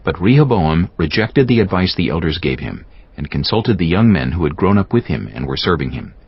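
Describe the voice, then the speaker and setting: Deep-voiced man narrating scripture. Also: sing-song, a little fast, breathy edge. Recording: slightly dull sound, music behind.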